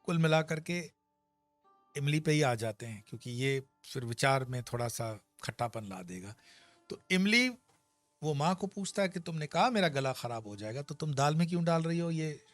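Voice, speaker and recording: A man teaching scripture in a sermon-style discourse, swinging between loud and soft as he explains with everyday examples. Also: a little high, lively intonation, normal speed, dry recording, faint music behind. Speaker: spiritual teacher. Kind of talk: Gita discourse.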